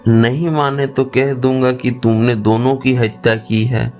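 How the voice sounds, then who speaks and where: A man plays a threatening thief in a cartoon village tale. He talks slowly and coldly in a medium-pitched voice, with faint music behind him. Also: steady tone, a little mellow, lightly acted. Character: scheming, threatening male thief and killer. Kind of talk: cartoon moral-story acting.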